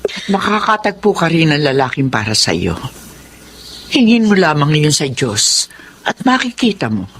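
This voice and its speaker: An actress playing a concerned middle-aged mother in a Filipino radio drama, chiding yet caring, with an expressive delivery. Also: low voice, normal speed, pauses often, slight background noise. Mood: consoling.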